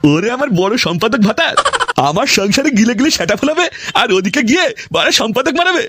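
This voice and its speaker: Grumpy cartoon dad, played by a man: extremely high voice, fast crude scolding, close dry sound. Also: sing-song, noisy background. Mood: angry scolding. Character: grumpy foul-mouthed father berating his idle son. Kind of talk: exaggerated comic cartoon dialogue.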